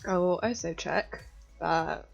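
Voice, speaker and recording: A young woman in her twenties, slightly croaky, chatting casually and giggling as she walks viewers through a hacking exercise on a live stream. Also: lowish voice, slow pace, muffled recording. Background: faint music.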